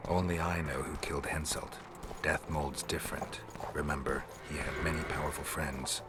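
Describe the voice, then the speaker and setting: A male actor playing a calm, cynical, world-weary monster hunter in a video game, talking in a measured, deadpan, wry way. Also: very deep voice, very noisy. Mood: wry, wary. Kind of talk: dramatic video game acting.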